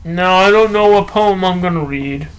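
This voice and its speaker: A man talking and reading poetry solo as a podcast host, halting, with drawn-out phrases and long pauses. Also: high voice, clear, resonant tone, rough recording. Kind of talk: rambling solo talk and poem reading.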